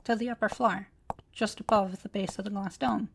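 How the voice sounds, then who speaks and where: A woman playing an ancient, courteous automaton librarian in a scripted audio roleplay, speaking formally with precise, measured diction. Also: medium pitch, fairly even intonation, gravelly, background noise, natural voice. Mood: calm, instructive. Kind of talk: scripted roleplay audio drama addressed to listener.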